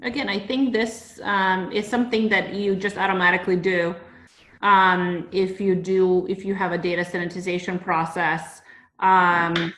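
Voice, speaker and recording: A woman with a fairly low voice talks through points in a casual, explanatory panel discussion. She checks in conversationally as she goes, and the room adds a clear echo. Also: little pitch movement, normal speed, a bit bright. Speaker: consultant discussing a standard. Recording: roomy, echoing sound.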